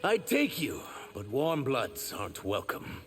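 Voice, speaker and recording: A man voicing an earnest, wounded reptilian shapeshifter for a video game, measured and sincere with a gravelly edge. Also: mid-range voice, huge pitch swings, soft background music. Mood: wary, dry. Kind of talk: video game character acting.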